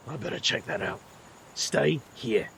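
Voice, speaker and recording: A young male magician, played by an actor, speaks with a heavily gravelled, creaky voice that swings in pitch. He is earnest and hesitant, in an audio drama with noticeable background noise. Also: expressive, measured pace. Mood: wry, uneasy.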